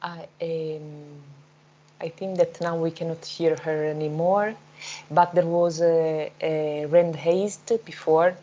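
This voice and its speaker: Low-voiced woman moderating a panel. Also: steady tone, takes it slowly, warm tone, lo-fi sound. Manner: hesitant, many fillers.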